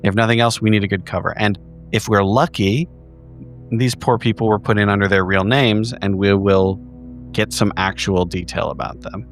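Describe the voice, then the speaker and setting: A man with a low voice chats casually as a tabletop player. He lays out plans step by step. Soft music sits behind him. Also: steady tone, normal speed. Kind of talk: casual tabletop game talk.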